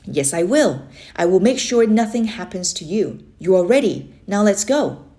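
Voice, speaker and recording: A woman's voice: medium pitch, big pitch swings, normal speed, warm tone, lightly acted. A woman voicing a firm, reassuring uncle who coaches swimming, in short commanding sentences from a children's storybook. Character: Uncle Jake, firm, reassuring adult male swim coach. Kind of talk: children's storybook read-aloud.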